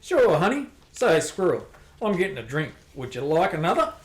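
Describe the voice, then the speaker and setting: A woman playing a stocky middle-aged male bar owner, relaxed and friendly, in an amateur radio play. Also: sits low, normal speed. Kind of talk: amateur radio drama acting. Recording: roomy echo.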